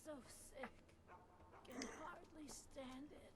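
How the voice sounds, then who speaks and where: A woman's voice: high voice, slow, slight background noise. An actress playing a weary, ailing townswoman in a fantasy video game. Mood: suffering. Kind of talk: video game character acting.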